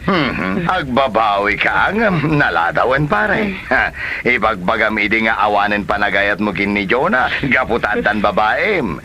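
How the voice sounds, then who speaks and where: A man's voice: lowish voice, sing-song, a little slow, pushed and bright, a little hiss or hum, heavily acted. A man acting as a chiding friend in an Ilocano comedy drama, with an emphatic delivery. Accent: Filipino, Ilocano. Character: scolding male friend rebuking a regretful philanderer.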